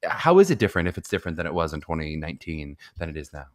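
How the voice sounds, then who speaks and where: A man hosting a podcast interview, asking long conversational questions and often adding quick affirming murmurs. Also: extremely low pitch. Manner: animated, chatty, frequent affirming murmurs. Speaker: podcast host.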